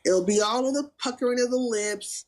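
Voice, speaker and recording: A woman's voice: fairly high pitch, a little fast. A woman in a blunt, probing casual chat.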